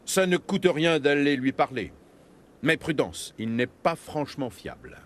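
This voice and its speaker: An actor playing a serious, resolute human military captain in a French video game. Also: male voice, fairly high pitch, lively intonation, measured pace. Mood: matter-of-fact. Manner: serious, firm.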